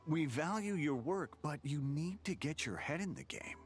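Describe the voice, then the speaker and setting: A man's voice: fairly high pitch, expressive, moderate pace, natural voice. A man playing a demanding, dismissive office boss in a game, talking in a pushy, condescending way over background music. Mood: scolding. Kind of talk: game voice acting.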